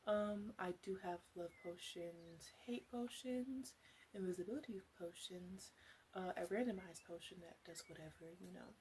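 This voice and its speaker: A woman playing a flirtatious witch who sells potions, rambling wryly and hesitantly in a soft ASMR roleplay addressed to the listener. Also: fairly low pitch, slight background noise, close to an everyday voice. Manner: hesitant, rambling, wry, with asides.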